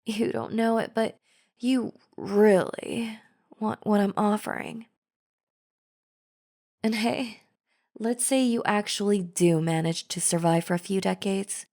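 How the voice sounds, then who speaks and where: A woman's voice: mid-range voice, steady tone, takes it slowly, pauses often, a little mellow. A woman playing a chatty, falsely friendly zombie in an audio roleplay, talking casually and persuasively straight to the listener. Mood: coaxing, friendly. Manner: casual, chummy, persuasive, conversational. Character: chatty female zombie, falsely friendly, coaxing flesh-eater. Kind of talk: scripted audio roleplay, addressing the listener directly.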